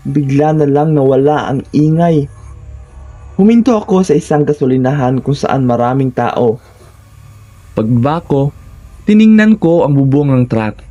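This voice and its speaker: A Filipino man tells a scripted horror tale in the first person. His voice is mellow and sits slightly high for a man. He builds suspense with short, deliberate phrases. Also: lo-fi sound. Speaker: horror story narrator.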